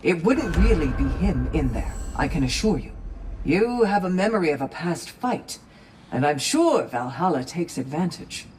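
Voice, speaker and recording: A man's voice: fairly high pitch, very animated, normal speed, darkish tone, breathy edge, full character voice. An actor playing a knowing, reassuring male advisor in a video game, explaining things conversationally. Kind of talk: dramatic video game acting.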